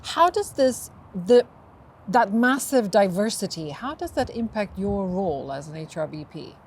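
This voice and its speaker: A woman's voice: mid-range voice, expressive, unhurried. A woman host in a casual outdoor interview on a windy day, often backing up her guest with "mm-hmm". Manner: frequent "mm-hmm" backchannels. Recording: faint outdoor noise.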